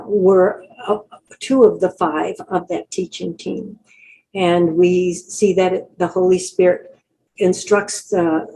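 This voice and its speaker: Older woman, slow and mellow Bible teacher. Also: fairly low pitch, low-quality recording. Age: older, great-grandmother. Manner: deliberate, explanatory, with many pauses.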